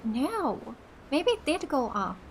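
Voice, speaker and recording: A woman's voice: high-pitched, very animated, slow, a little hiss or hum, low-quality recording. A woman from Finland giving chatty, enthusiastic casual let's play commentary as a gaming host. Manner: chatty, enthusiastic about the game.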